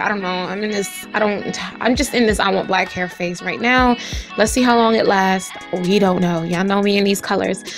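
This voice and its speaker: A woman with a raspy voice, chatting casually to her viewers as she reviews hair and walks through a tutorial. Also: mid-range voice, a bit bright. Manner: chatty, addresses viewers directly. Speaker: hair vlogger.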